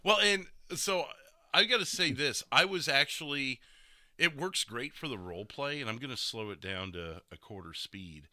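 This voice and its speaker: A man hosting a casual stream podcast, commenting on replays in an animated, sweary way and throwing in imitated sound effects. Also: medium pitch, normal speed, no echo.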